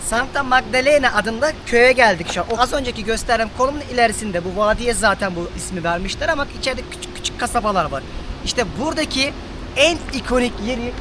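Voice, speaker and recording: A man's voice: very high voice, fast. A man hosting a casual travel vlog, talking informally and directly to viewers. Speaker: travel vlogger, host. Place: outdoors and on the road. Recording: noisy outdoor audio with traffic ambience.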